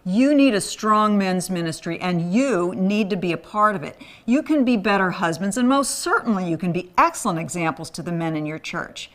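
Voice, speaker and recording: A woman's voice: mid-range voice, expressive, moderate pace, hardly any pauses, slight background noise. A woman raised in Thailand, delivering an earnest scripted appeal for a men's ministry. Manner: earnest, pleading.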